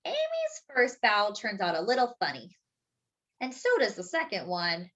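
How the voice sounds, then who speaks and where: A woman's voice: medium pitch, wildly swooping pitch, unhurried, a bit bright. A woman hosting story time, reading a picture book expressively for young children, stretching key words and adding simple explanatory asides.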